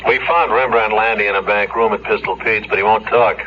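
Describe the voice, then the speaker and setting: A man acting a terse male police officer who reports in with a low voice. It is an old radio crime drama, and the recording sounds dull. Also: steady tone, fairly quick, a little hiss or hum, lightly acted. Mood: grim report.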